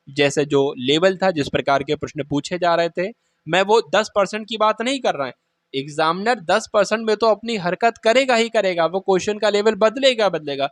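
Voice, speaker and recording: A man's voice: high voice, fairly bright tone, dry recording, clean studio sound. A man talks informally like a coaching teacher, explaining and reassuring students in colloquial phrases with frequent check-ins.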